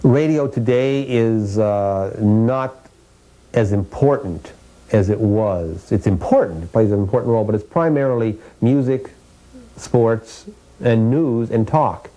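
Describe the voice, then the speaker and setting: A man with an American accent gives explanatory interview commentary as a historian, haltingly searching for his words. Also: fairly low pitch, mellow.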